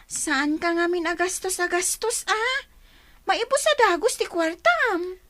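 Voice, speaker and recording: A woman's voice: very high-pitched, lively intonation, unhurried, fairly bright tone, exaggerated voice. A woman with an Ilocano accent playing a sensible, thrifty young daughter in a radio comedy drama, matter-of-fact and chiding. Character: sensible, thrifty young daughter who scolds her twin.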